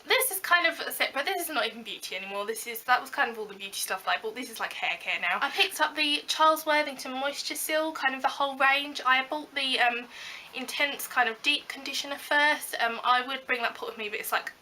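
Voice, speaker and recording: A young British woman with a slightly croaky voice from a cold, chatting casually through a beauty haul with lots of ums and likes. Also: high-pitched, animated, fairly quick, pushed and bright, a little hiss or hum, rough recording. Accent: British English. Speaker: beauty vlogger. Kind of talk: casual haul video chat.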